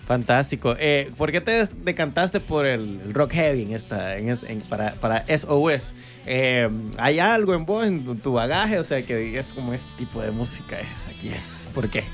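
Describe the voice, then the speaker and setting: A man with a Central American Spanish accent hosts a casual interview. His voice sits at an average pitch, with faint music playing behind him. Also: animated, moderate pace, hardly any pauses. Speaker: interview host.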